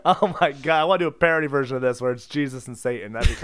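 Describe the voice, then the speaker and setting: A man's voice: mid-range voice, racing, fairly bright tone, clean tone, dry recording. A man co-hosting a comedy podcast, chatting casually with playful, giggly banter. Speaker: podcast co-host.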